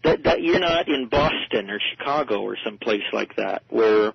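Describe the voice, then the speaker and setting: A man speaking informally as a guest commentator in a radio interview, halting and often rewording himself. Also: lowish voice, expressive, creaky, slightly rough, no echo, lo-fi sound.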